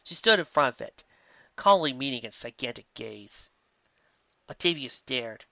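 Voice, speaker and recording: A man reading fan fiction aloud in an animated way, giving every character a voice. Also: mid-range voice, unhurried, gravelly, dry recording. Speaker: audiobook reader. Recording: dull lo-fi audio, dry.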